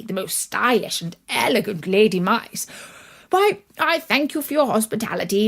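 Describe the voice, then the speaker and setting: A storybook uncle mouse, acted out by a woman, worldly and very pleased with his fine tastes. He is a pompous, genteel gentleman who speaks in an unhurried, effusive way with a breathy edge. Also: mid-range voice. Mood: condescending, grand. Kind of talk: storybook character voice.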